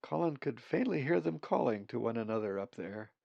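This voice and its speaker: A man's voice: medium pitch, lively intonation, fairly quick, creaky, a little mellow, no echo. A man reading his own novel aloud as audiobook narration, on a slightly dull recording.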